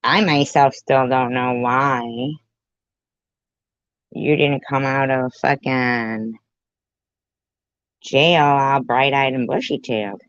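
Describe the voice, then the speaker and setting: Slow, blunt woman commenting. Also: extremely low pitch, fairly even intonation, clear, resonant tone, no echo. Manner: blunt, sarcastic, drawn-out remarks. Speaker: commentary YouTuber. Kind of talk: reaction commentary. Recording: dry, muffled lo-fi sound.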